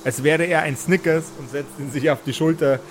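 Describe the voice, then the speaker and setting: A man narrating as game master of a tabletop role-playing audio play, improvising descriptions in deliberate phrases with pauses. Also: on the high side, fairly even intonation, slight background noise.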